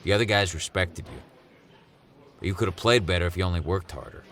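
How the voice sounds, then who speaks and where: A deep, gruff police sergeant. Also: male voice, lively intonation, normal speed, a bit bright, slight background noise. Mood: scolding. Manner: blunt, scolding, commanding. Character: gruff, blunt police sergeant and former coach. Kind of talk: audio drama acting.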